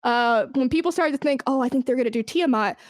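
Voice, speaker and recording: Higher-voiced woman chatting casually. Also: fast talker, no room sound, clean studio sound. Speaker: podcast guest, esports brand manager. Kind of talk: casual interview chat.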